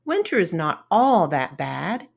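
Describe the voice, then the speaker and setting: A kindly old stag beetle from a children's storybook, acted out by a woman. He is grandfatherly and reassuring. His speech is unhurried and swoops with expression. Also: on the low side, very animated, vocal fry, breathy edge, full character voice. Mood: gentle, reassuring. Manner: gentle, soft-spoken, grandfatherly. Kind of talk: children's storybook read-aloud.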